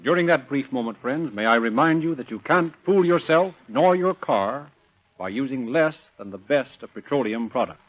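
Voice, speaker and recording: A man working as a polished, formal 1930s radio announcer and narrator, reading a scripted sponsor pitch. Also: expressive, moderate pace. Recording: muffled vintage radio recording.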